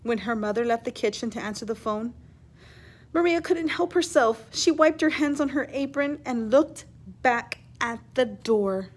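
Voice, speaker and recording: A woman reading a children's story aloud in an expressive, teacherly way, pausing to explain words and ask questions. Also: fairly high pitch. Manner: animated, emphatic reading with hushed asides.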